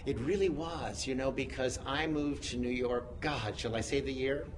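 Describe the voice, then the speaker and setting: A man in his sixties from the United States, a guest chatting in an interview who tells stories in an animated, self-mocking way. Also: medium pitch, moderate pace. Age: 60s. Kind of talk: casual interview chat. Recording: noisy room sound.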